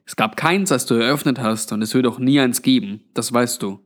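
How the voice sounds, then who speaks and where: A man's voice: mid-range voice, brisk, natural voice. A man voicing a weary, blunt old undead man with a grave, resigned manner in a narrated story.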